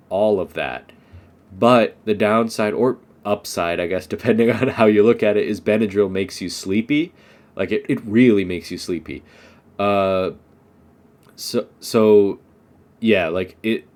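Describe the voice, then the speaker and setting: A man's voice: fairly low pitch, darkish tone. A young American man, stuffed up from allergies, giving an unscripted English lesson and rambling with lots of "um" and "like". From United States. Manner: rambling, many fillers like "um" and "like". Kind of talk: unscripted casual monologue.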